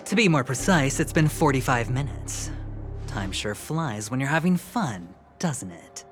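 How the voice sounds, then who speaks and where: A man playing a flashy young gambler and smooth talker in a video game, smug, playful and unruffled. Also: mid-range voice, sing-song, unhurried, soft background music. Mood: teasing. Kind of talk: English video game voice acting.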